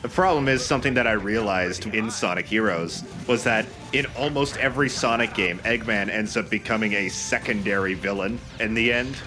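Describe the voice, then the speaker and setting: A man's voice: on the low side, moderate pace, few pauses. A man giving casual game commentary with a wry, mock-dramatic sense of humour. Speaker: let's play commentator. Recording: game music behind the voice. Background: loud video game music.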